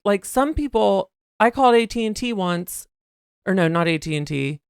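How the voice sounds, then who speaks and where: A man co-hosting a casual podcast chat, talking in a soft, breathy voice that listeners call soothing, telling stories animatedly. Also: high-pitched, normal speed, no echo, clean studio sound. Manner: chatty, animated storyteller. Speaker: podcast co-host.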